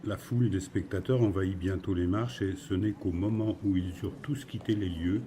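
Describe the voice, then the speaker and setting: A man reading literary fiction as a narrator, in a measured storytelling manner. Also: sits low, steady tone, mellow, slight background noise.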